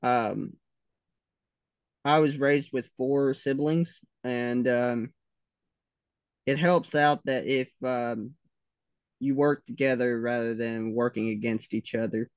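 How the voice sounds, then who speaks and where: A man with a Southern US accent, answering interview questions slowly, with many pauses and fillers. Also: mid-range voice, steady tone, smooth, no echo. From United States.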